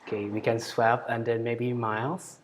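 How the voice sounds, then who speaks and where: A man moderating a video call, passing the turn to each speaker with brief, relaxed handoffs. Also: mid-range voice, normal speed, a little hiss or hum.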